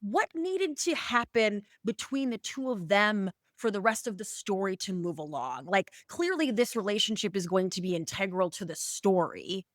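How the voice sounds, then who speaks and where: A woman hosting a casual podcast, asking questions in a chatty, informal and expressive way. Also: mid-range voice, normal speed, hardly any pauses, dry recording, clean studio sound. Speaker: podcast host, interviewer.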